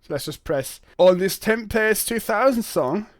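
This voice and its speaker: A man hosting a casual, unscripted software demo video, thinking aloud in hesitant, stop-and-start phrases. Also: high voice, expressive, a little slow. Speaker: host of a Linux software video channel.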